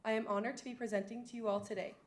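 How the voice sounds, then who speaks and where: A woman's voice: on the high side, flat delivery, very fast. A teenage girl, a student body president, delivering a rehearsed, enthusiastic report to a school board. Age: teens. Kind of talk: prepared report.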